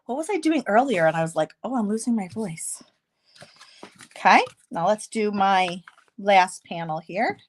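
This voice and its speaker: A woman hosting a casual card-making tutorial, chatty and self-deprecating, slightly hoarse with a stuffy nose. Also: mid-range voice, expressive, normal speed, slight background noise.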